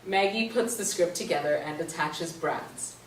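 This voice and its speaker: A woman's voice: lowish voice, unhurried. A woman narrator reading stage directions in a scripted play reading. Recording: roomy stage, distant mic, faint noise.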